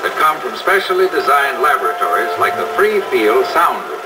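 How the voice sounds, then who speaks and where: A man in a 1950s promotional film, giving an upbeat, persuasive sales pitch while guiding a visitor. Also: very animated, brisk. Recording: distant, muffled old film sound with loud music.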